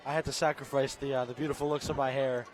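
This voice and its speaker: A young man giving relaxed, chatty color commentary outdoors at a football game. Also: medium pitch, a little hiss or hum. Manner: relaxed, chatty, with filler pauses. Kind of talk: live sports commentary.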